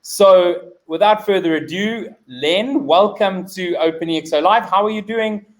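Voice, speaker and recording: A man hosting a live online interview, speaking with halting, hesitant phrasing. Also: sits high. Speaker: host.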